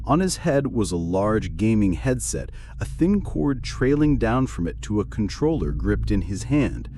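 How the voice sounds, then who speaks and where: Low, expressive male horror narrator. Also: unhurried, slight background noise. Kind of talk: dramatic first-person scripted narration.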